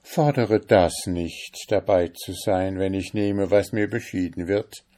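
A man's voice: sits low, warm tone. A man voicing the Devil as a sly, noble-seeming prince of hell in a storybook reading. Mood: warning. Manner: sly, measured and warning.

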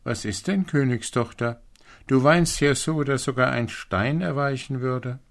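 A man's voice: mid-range voice, measured pace, gravelly, voice pushed up. A man voicing an old frog in a fairy tale, with an insistent, bargaining manner. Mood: concerned.

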